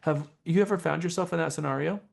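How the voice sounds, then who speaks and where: A man teaching a professional class as a trainer, speaking in a deliberate, emphatic way with rhetorical questions. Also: fairly high pitch, vocal fry. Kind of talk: motivational training seminar talk.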